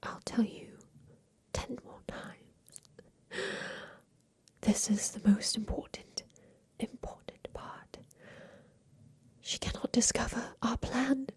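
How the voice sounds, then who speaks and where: A woman voicing a secretive, tender captive girl in a haunted attic, in a hushed, deliberate ASMR roleplay whisper that repeats phrases. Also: fairly high pitch, steady tone, slightly rough, exaggerated voice. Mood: urgent, hushed.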